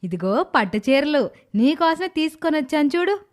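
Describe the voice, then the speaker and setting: A woman's voice: sits high, sing-song, normal speed. A woman voicing a kindly, indulgent middle-aged mother-in-law in a dramatised moral story, with a coaxing manner. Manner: coaxing, placating.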